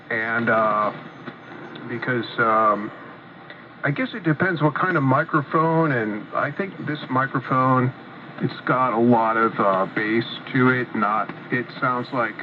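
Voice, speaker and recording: A man with a middling pitch talks very slowly and hesitantly on the air, often clearing his throat. His voice is thin and tinny, with faint static behind it. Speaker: ham radio operator. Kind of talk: casual radio chat.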